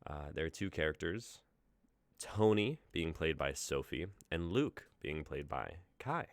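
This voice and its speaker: A man's voice: extremely low pitch, sing-song, a little slow, no room sound. A man reading out the stage directions of a script he wrote.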